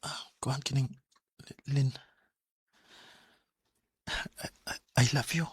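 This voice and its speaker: A man's voice: mid-range voice, fairly even intonation, slow pace, husky. A man playing an earnest, hesitant student who is smitten and dreams of law, acted in Bisaya radio drama style. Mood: nervous, lovestruck. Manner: hesitant, stammering. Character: earnest male student, studious, smitten aspiring lawyer. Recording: music under the dialogue.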